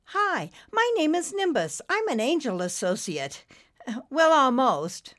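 Woman as an earnest little boy cloud, raised pitch. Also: very animated, slow, a bit bright, heavily acted. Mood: friendly, shy. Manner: hesitant, polite, stammering. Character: gentle, earnest young male cloud, eager angel apprentice.

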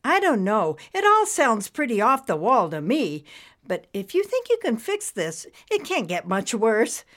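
An eager female painter from a children's storybook, voiced by a woman reader. She speaks high and with lively swings, curious and easily amazed. Her delivery is friendly and exclamatory. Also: measured pace, full character voice. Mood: doubtful, curious.

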